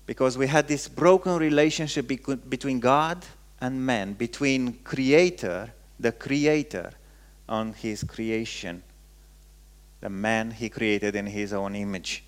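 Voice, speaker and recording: A male pastor from Romania with a Romanian accent, preaching a sermon in emphatic, halting phrases and repeating words for weight. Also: mid-range voice.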